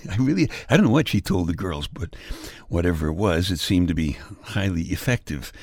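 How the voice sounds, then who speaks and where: A man's voice: low-pitched, normal speed, no room sound, clean studio sound. A man with a Brooklyn accent giving a wry, scripted comic monologue, chuckling at his own jokes. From Brooklyn, New York. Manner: wry deadpan storytelling with chuckles. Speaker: radio humor host.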